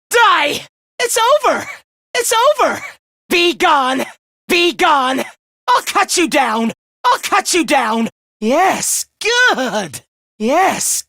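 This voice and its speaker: An actor playing a sadistic, power-drunk young male fighter in a video game, sneering and theatrical with a raspy, youthful voice. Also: extremely high pitch, pushed and bright, no room sound, studio quality, full character voice. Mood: ferocious, then ecstatic. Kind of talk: video game battle shouts.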